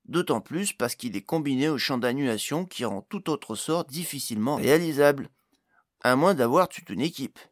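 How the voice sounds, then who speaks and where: A man's voice: mid-range voice, lively intonation, moderate pace, dry recording, studio quality, voice pushed up, lightly acted. Man voicing an eager, indignant young gnome. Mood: explanatory, emphatic. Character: young male gnome, precarious intern, eager and indignant magic-device expert.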